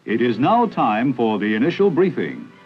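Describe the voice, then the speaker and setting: A man's voice: mid-range voice, very animated, moderate pace, dull audio, soft background music. A man reading scripted 1960s educational film narration in a formal, authoritative manner with clipped lists, on an old film soundtrack.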